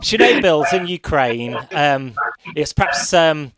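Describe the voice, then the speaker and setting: A man conducting a casual radio interview, asking his questions in a hesitant, halting way. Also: a little high, lively intonation, a bit bright. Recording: busy crowd chatter behind. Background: crowd noise.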